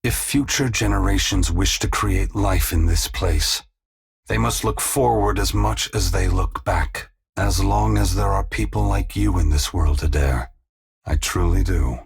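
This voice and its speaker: An actor playing a weary, compassionate god in a video game, speaking in a grave, measured, formal way with a gravelly, husky voice. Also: male voice, low-pitched, heavily acted. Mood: wistful, then gentle, sorrowful. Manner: slow, measured, philosophical, formal. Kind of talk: video game voice acting, dramatic dialogue.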